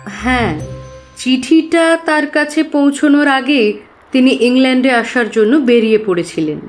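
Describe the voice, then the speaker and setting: A woman's voice: high-pitched, measured pace, soft background music. An actress playing an earnest, trusting young woman client who carefully recounts her troubles in an audio drama. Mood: earnest, troubled.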